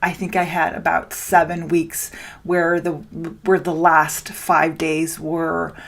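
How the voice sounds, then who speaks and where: Slow, lower-pitched woman podcaster from Denmark. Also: level tone, gravelly. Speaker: podcast host and spiritual business coach. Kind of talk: solo podcast talk addressed to listeners.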